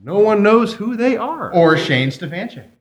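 A man's voice: high-pitched, sing-song, moderate pace. A man bantering in a hammy, teasing way as co-host of a live comedy variety show. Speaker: podcast co-host. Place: live stage at a comic shop. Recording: echoey live venue with music and crowd.

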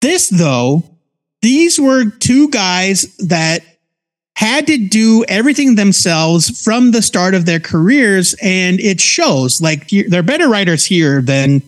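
American guy in his 40s, pretty high voice, chatting casually like a podcast cohost. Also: big pitch swings, takes it slowly. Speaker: podcast co-host reviewing comics. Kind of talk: casual conversational commentary.

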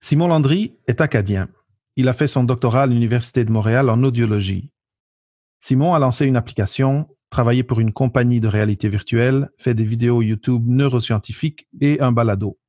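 A man's voice: medium pitch, fairly quick, mellow, no echo. A man hosting a podcast, introducing the show and his guest and asking questions in a friendly, enthusiastic way. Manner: enthusiastic, friendly, some fillers. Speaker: podcast host.

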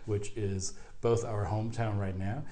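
A man hosting a conversational podcast interview, German his first language. His voice sits low with a mellow, relaxed tone. He talks in a chatty way, dropping self-deprecating asides. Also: measured pace. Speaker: podcast host.

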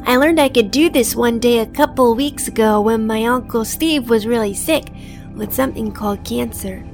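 A woman's voice: on the high side, moderate pace, faint music behind, lightly acted. A woman playing an earnest, innocent seven-year-old boy in an audio drama. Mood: earnest, matter-of-fact. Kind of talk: audio drama acting and first-person narration.

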